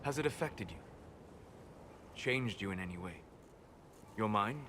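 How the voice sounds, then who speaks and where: An actor playing a wise, aloof male elf mage in a game cutscene, measured and soft-spoken. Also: lowish voice, animated, slight background noise. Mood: calm, thoughtful. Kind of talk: video game cutscene dialogue. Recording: game audio with long reverb, faint ambience.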